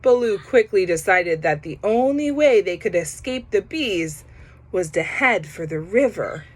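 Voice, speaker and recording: Slow, lively woman reading a storybook. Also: medium pitch. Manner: pauses to comment on the pictures. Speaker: storybook reader. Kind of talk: children's picture-book read-aloud.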